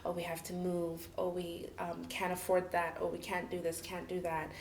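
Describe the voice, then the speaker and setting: A woman from Cameroon who grew up in the UAE, a guest telling her life story in a casual, chatty way, full of "like" and "you know" and quick laughs. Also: lowish voice, completely flat delivery, slight background noise. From Cameroon, raised in the UAE. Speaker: interview guest. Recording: echoey room.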